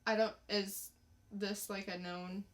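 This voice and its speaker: A woman's voice: mid-range voice, flat delivery, slow pace. A woman co-hosting a podcast, giving a casual recap in a plain-spoken, informal way. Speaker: podcast co-host reviewing comics. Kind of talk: casual plot recap and review.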